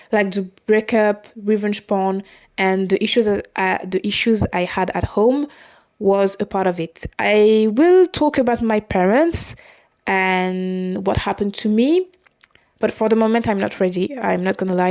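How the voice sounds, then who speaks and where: A woman in her twenties, a non-native English speaker, hosting a podcast and talking through her own story in a halting, confessional way, often stopping to correct herself. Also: medium pitch, darkish tone. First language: not English. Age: 20s. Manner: halting, with frequent restarts and self-corrections. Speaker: podcast host. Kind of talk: personal confessional monologue.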